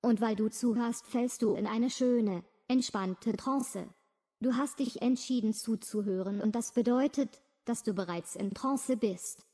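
A woman reading a slow, soothing, repetitive guided hypnosis script. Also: on the high side, warm tone. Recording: long reverb.

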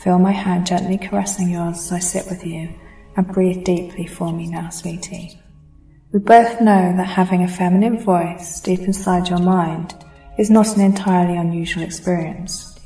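A woman reading a scripted hypnosis session in a slow, soothing, hushed and intimate way. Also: on the low side, steady tone, recorded from a distance. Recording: roomy echo, voice set back from mic, faint music bed.

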